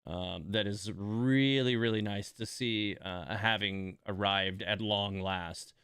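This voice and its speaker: A man's voice: lowish voice, steady tone, takes it slowly, a bit bright, smooth, no echo, studio quality. A man giving casual, chatty game commentary as a streamer, talking to viewers and repeating words for emphasis.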